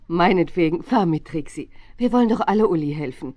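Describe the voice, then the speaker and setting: Mellow, indulgent mother. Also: lowish voice, lively intonation, normal speed, no echo. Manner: indulgent, gently teasing. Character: Trixie's mother, indulgent middle-aged woman. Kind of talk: German children's radio play acting.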